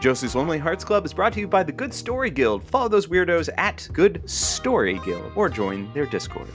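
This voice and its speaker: A man's voice: on the high side, very animated, moderate pace, fairly bright tone, faint music behind. An actor playing a smug, laid-back young male sidekick in an audio comedy drama, with a casual, wry, playful delivery. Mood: playful announcing.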